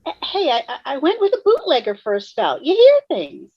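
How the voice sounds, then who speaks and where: A woman's voice: sits high, big pitch swings, fairly quick, highs cut off. A woman playing a sly, streetwise, gossipy ghost in a sitcom table read over a video call.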